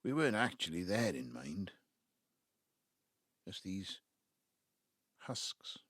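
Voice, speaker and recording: Husky, low-voiced Welsh patriarch. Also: male voice, very animated, fairly bright tone, no room sound, clean studio sound, heavily acted. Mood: rueful. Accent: South Wales Valleys. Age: 70s. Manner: halting, reflective, breaking into low muttering. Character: embittered ageing Welsh patriarch, wistful and self-justifying. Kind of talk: naturalistic audio drama monologue.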